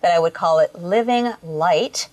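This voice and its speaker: A middle-aged woman, founder of a culinary school, speaking earnestly and reflectively in an interview, pausing often on fillers. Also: lowish voice, darkish tone.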